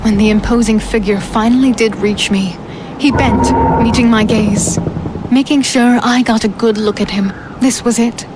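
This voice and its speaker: A woman's voice: on the high side, steady tone, moderate pace, very noisy, natural voice. A woman playing a defiant human fighter, telling her ordeal in first person as dramatic fantasy storytelling. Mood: tense, reflective. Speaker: narrator and heroine.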